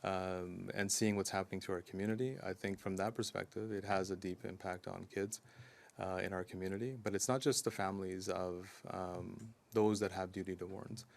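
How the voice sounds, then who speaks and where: A man speaking as a community advocate at a news conference, earnest and steady, with frequent ums and uhs. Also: low voice, vocal fry. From Canada. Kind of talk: press conference statement.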